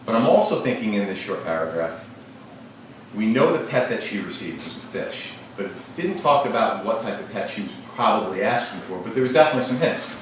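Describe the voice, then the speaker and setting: A male teacher leading an interactive read-aloud, questioning his class in a lively, engaging way. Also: on the low side, brisk. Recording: echoey classroom, distant mic, noisy.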